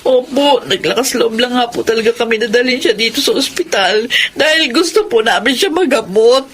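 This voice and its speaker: A woman's voice: fairly high pitch, expressive, fairly quick, slightly rough. An actress playing a humble, remorseful young wife and mother in an earnest Tagalog radio drama style. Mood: pleading.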